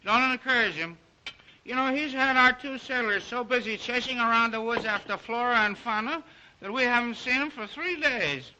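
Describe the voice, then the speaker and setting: Teasing young male guest, very high voice, sharp bright edge, slangy, flippant, vintage drama comic relief. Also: normal speed, lo-fi sound, slight background noise, full character voice. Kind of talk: 1930s film-era comic relief acting.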